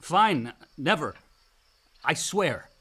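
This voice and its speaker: An actor playing a brash, loyal younger son in a radio play, blunt and informal. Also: male voice, on the high side, big pitch swings, drawn-out words, fairly bright tone, breathy edge, heavily acted. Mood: worried.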